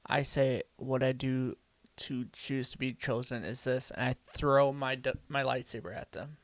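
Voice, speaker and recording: Guy with lots of vocal fry, real slow talker, joking around playing a game on a podcast. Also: medium pitch, fairly even intonation, no room sound. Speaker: podcast co-host playing a text adventure. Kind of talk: casual playful banter.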